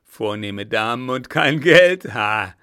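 A man voicing a rough, leering male highway robber who shouts and jeers. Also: expressive, slow. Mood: jeering. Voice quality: rough loud voice. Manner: shouting, jeering.

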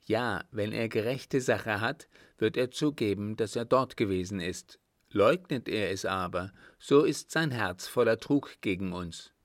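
A man's voice: on the low side, no room sound, studio quality, natural voice. Man acting an earnest, suspicious young desert man. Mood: calculating. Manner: deliberate, insistent, formal.

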